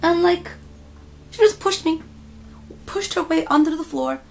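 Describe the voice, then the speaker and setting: A woman telling a spooky personal story casually, in a dramatic, emphatic manner with pauses. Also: very high-pitched, gravelly. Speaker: storytime vlogger. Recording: music playing behind the voice. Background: background music.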